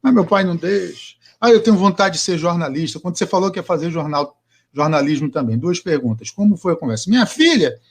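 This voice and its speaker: A male podcast host with a fairly high-pitched voice. He chats casually and asks long, winding questions, often restarting his sentences. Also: lively intonation, quick pace. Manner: chatty, rambling questions with restarts.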